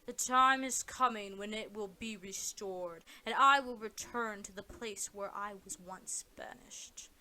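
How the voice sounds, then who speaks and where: Lady doing a vengeful evil sorcerer guy, boastful n menacing, stretches words out, bright forceful voice, audio play. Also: mid-range voice, rough recording. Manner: menacing, grandiose, drawn-out delivery.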